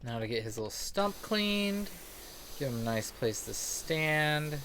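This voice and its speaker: A man's voice: fairly high pitch, sing-song, measured pace, fairly bright tone, rough recording. A man chatting casually as he streams a game, rambling and joking with plenty of swearing. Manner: crude, jokey, rambling, sweary; acts out quoted remarks. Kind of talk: casual gaming commentary and chat. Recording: clear background noise.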